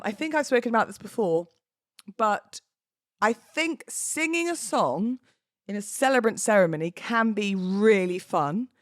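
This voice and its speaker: A woman's voice: mid-range voice, dry recording. Chatty British woman hosting a podcast, dry sound. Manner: chatty, informal, cheeky, expressive. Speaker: podcast host. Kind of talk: casual solo podcast chat.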